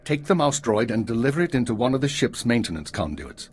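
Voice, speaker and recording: A male actor playing a commanding, weary middle-aged intelligence chief in a video game, husky and brisk. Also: medium pitch, big pitch swings. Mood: stern, businesslike. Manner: brisk, authoritative.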